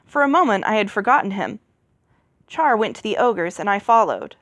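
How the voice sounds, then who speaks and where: Woman reading a story aloud, lively pitch. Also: moderate pace, dry recording, clean studio sound. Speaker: amateur audiobook reader. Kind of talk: reading a fantasy novel aloud.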